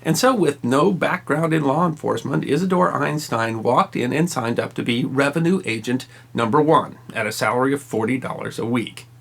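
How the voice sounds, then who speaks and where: A man's voice: mid-range voice, normal speed, gravelly, few pauses, fairly bright tone. A man narrating a history story from a script, with wry, emphatic delivery and dry asides. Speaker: history channel host.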